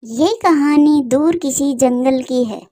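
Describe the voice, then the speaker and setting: A woman's voice: sits high, slow pace, mellow, dry recording. A woman narrating a scripted children's story as the storyteller.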